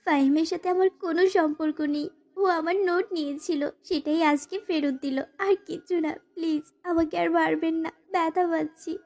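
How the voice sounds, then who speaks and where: A woman's voice: very high voice, fairly even intonation, moderate pace, darkish tone. A woman voicing a timid, sorrowful young wife who narrates a dramatized romantic story and pleads submissively. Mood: pleading. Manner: formal, submissive address to her husband.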